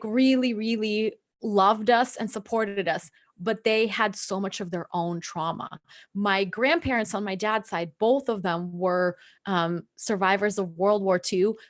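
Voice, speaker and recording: A woman from Russia, a native Russian speaker working as a mindset coach, talks to live viewers in an unscripted, confiding and earnest way, halting at times and stretching words for emphasis. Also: medium pitch.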